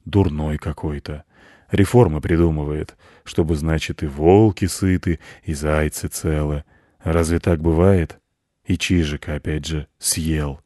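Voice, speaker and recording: Male narrator as storybook wolf, deep, dark-toned, gloomy, worldly-wise, slow grumbler. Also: steady tone, dry recording, studio quality. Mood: weary, grumbling.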